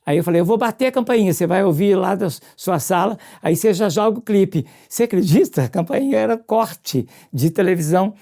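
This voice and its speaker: A radio presenter with a Brazilian accent, reminiscing casually in an expressive storytelling way and often turning questions to the listener. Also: medium pitch, brisk, gravelly, hardly any pauses.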